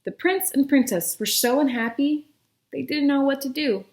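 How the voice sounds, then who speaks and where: A woman reading a children's picture book aloud with expressive storybook narration. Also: high voice, normal speed, reverberant, slight background noise.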